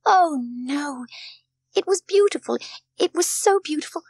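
A woman voicing a gentle, homesick little girl in a storybook, speaking in an earnest, hesitant way. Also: high-pitched, animated, unhurried, dry recording, voice pushed up. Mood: longing, tearful.

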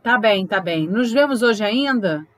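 A woman's voice: mid-range voice, expressive, a little slow, a bit bright. A woman playing a concerned, questioning love interest in an audio drama, coaxing and inquisitive. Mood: bewildered.

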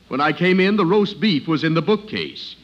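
Teasing lawyer buddy, 1950s radio comedy, rough-edged fairly high voice, muffled old recording. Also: male voice, lively intonation, moderate pace. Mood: amused. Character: amused, teasing lawyer pal of the father.

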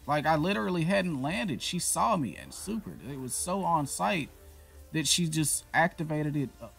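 A man giving casual, profanity-laced commentary while playing a shooter game, reacting loudly to what happens on screen. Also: fairly high pitch, animated, normal speed, creaky, faint music behind. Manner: swears freely, reacts loudly to in-game events. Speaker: gameplay commentator.

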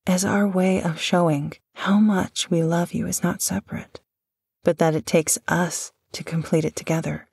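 Woman voice actor, slow husky breathy voice, gentle and a bit wry, dry close mic. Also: lowish voice, steady tone, dry recording. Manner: gentle, affectionate, dryly amused. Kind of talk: intimate audio roleplay.

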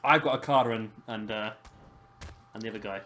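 A hoarse man, hosting and casually commentating a wrestling video game. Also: on the low side, fairly quick, sharp tone. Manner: chatty, laughing, swears casually. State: hoarse, lost his voice. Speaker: host of a gaming channel.

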